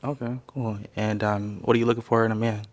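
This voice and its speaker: A man on a dating show speaks in a low voice, casual and hesitant, filling gaps with ums. The sound is dry and close, with no room around it. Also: little pitch movement, brisk, no echo. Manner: hesitant, many ums, laughs easily.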